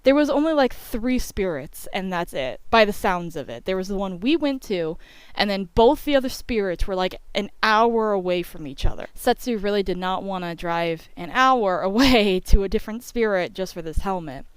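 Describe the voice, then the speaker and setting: A young woman tells a rambling, informal story for an online video. Her voice is smooth and clean at a middle pitch, recorded close and dry. She talks like she is chatting with friends, dropping in "like" and "you know" as she goes. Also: animated, normal speed, dry recording, poor audio. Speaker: YouTube storyteller. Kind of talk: casual rambling story time voice-over.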